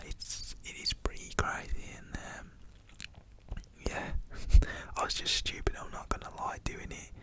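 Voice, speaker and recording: A young man tells a personal story in a whisper, full of "you know" and "literally". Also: a little slow.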